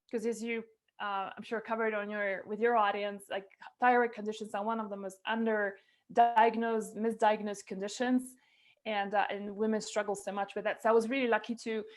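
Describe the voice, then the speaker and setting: A middle-aged woman guest on a video call, telling her own story with animated gestures and frequent fillers and restarts. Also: medium pitch. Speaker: guest, nutrition coach. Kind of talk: candid interview storytelling. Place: indoors at a window with a snowy forest view. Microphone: video call, webcam.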